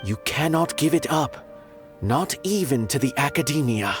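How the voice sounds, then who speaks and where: A man's voice: medium pitch, lively intonation, moderate pace, over music, lightly acted. Male actor as theatrical, sweeping-voiced art connoisseur. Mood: earnest, encouraging. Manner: theatrical, verbose, lofty. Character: eloquent, philosophical retired male art connoisseur. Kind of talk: scripted game character dialogue.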